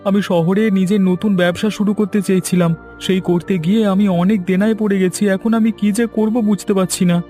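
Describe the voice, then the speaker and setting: A man's voice: sits high, steady tone, moderate pace, warm tone, faint music behind, lightly acted. A man voicing a regretful, resigned husband sunk in debt in a cartoon folk tale. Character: debt-ridden young husband back from the city. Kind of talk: cartoon fairy-tale dialogue.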